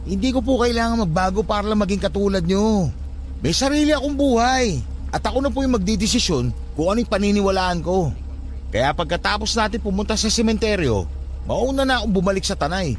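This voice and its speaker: Radio drama nephew: high voice, very animated, sarcastic and argumentative. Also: male voice, fairly quick. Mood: defiant, annoyed. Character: irritable, blunt skeptical nephew, a grown man. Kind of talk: Tagalog radio drama acting.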